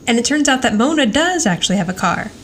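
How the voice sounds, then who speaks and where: A woman's voice: fairly high pitch, lively intonation, quick pace. An American woman co-hosting a podcast in a casual, wry, chatty way as she recaps. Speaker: podcast co-host. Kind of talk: casual chat, episode recap. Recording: faint background noise.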